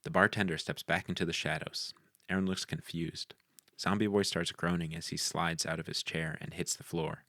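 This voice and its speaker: A man's voice: low-pitched, flat delivery, moderate pace, bright, forceful tone, no echo, studio quality. A man narrating the action lines of a screenplay in a scripted read.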